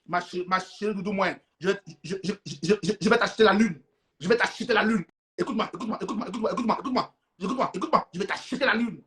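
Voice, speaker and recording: A man's voice: high voice, very fast, fairly bright tone. A man playing a sweet-talking, insistent boyfriend who stammers as he makes grand promises in a sermon skit. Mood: wheedling, insistent.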